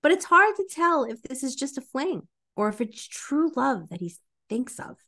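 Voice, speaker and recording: A woman's voice: high-pitched, big pitch swings, moderate pace, sharp tone. A woman doing playful, theatrical dramatic readings with an exaggerated, melodramatic delivery as a podcast co-host.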